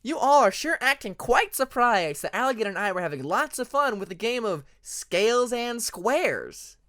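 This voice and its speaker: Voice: mid-range voice, sing-song, normal speed, fairly bright tone, pitched above the performer's own voice. A narrator voicing a playful young female dragon, teasing and melodramatic, in an audiobook. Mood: mock offended. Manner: melodramatic, exaggerated emphasis.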